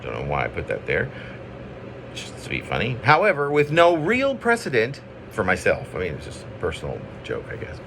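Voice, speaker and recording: A man's voice: lowish voice, huge pitch swings, normal speed, a bit bright, slight background noise. A man reading his own poems in an informal, rambling way, with self-deprecating asides and playful drama. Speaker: poet reading own work.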